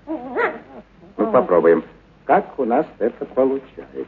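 A man's voice: mid-range voice, huge pitch swings, slow pace, slightly rough, phone-quality sound, full character voice. An actor playing a kindly, enthusiastic male circus animal trainer in a radio play, muttering hesitantly and repeating encouragements. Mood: coaxing, pleased. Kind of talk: dramatized literary radio play acting.

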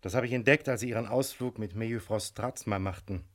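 A man playing a courteous but firm, wily police inspector in a radio crime drama, speaking formally and deliberately with polite menace. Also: lowish voice, natural voice. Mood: formal, warning.

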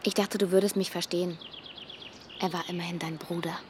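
A woman acting in a German radio drama as a weary, guilt-ridden carer, speaking with restraint and often hushed. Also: on the low side, little pitch movement, brisk, slight background noise, natural voice. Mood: hurt, resigned. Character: weary, guilt-ridden woman caring for her dying aunt. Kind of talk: German radio drama acting, dialogue and intimate inner monologue.

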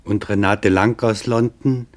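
A man's voice: lowish voice, fairly even intonation, close to an everyday voice. Solemn male chronicler, played by an actor. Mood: solemn, measured. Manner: solemn, deliberate. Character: grave, measured male chronicler reporting witness testimony. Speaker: narrator. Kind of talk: scripted German radio drama narration.

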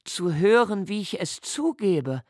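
A man's voice: high voice, lively intonation, no echo, clean studio sound. Man narrating a literary radio drama. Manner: quiet, measured, inward and reflective. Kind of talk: literary first-person narration in a radio play. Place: studio.

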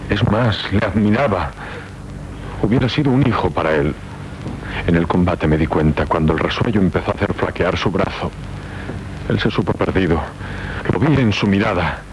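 A man's voice: sits low, slight background noise, poor audio. An actor playing a lovelorn, guilt-ridden young nobleman warrior in a 1970s radio play, speaking solemnly and earnestly with a Castilian accent. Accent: Castilian Spanish. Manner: solemn, earnest, theatrical delivery. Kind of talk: 1970s Spanish radio theatre acting. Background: prominent music.

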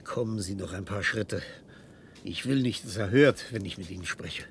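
An actor playing an obsessive, cold scientist in a radio drama, lecturing with intensity and secretive command. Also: male voice, lowish voice, moderate pace, vocal fry, a little hiss or hum. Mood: hushed, commanding.

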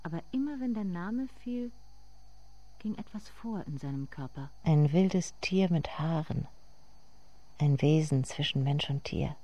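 Dark-voiced somber female narrator. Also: deep voice, animated, measured pace, highs cut off. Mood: quiet, reflective. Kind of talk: literary radio drama narration in the second person.

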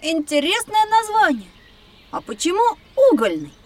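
High-pitched cheeky little boy explorer. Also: moderate pace, distant mic, heavily acted. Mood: curious. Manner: excitable, teasing, exclamatory. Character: lively, cheeky, squeamish young boy explorer. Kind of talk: audio drama acting for children.

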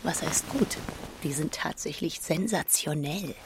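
Dry, low-voiced businesswoman. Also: measured pace, heavy background noise. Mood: dry, teasing. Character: dry, brisk businesswoman, a Berlin publisher, teasing. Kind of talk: naturalistic radio play dialogue.

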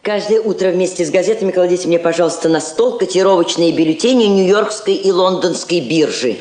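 An actress playing a dignified, dryly ironic woman diplomat in a Soviet radio play, speaking with measured poise. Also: lowish voice, a little mellow, lightly acted. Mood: composed, wry. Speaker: actor. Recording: roomy old studio recording.

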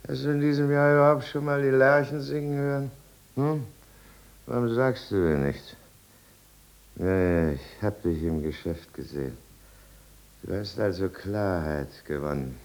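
An actor playing a middle-aged drunken husband in a 1950s German radio drama, rambling and sardonic, sliding between self-pity and false jauntiness. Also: male voice, fairly low pitch, close to an everyday voice. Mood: bitter, mocking. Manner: rambling, sardonic, with drawn-out musing. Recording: gravel-free but aged 1950s radio recording.

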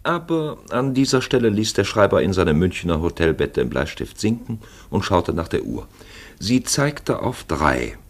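An actor playing a grave third-person storyteller in literary radio-play narration. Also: male voice, low voice, big pitch swings, moderate pace, exaggerated voice. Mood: measured.

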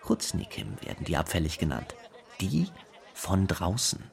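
A man's voice: low-pitched, huge pitch swings, normal speed, vocal fry, noisy background. A man narrating in a radio feature, giving a reflective recollection.

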